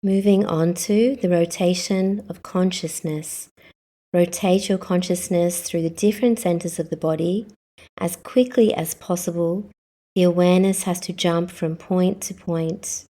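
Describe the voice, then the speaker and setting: Woman leading yoga nidra, really slow and calm, lowish voice, short measured phrases. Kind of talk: guided meditation.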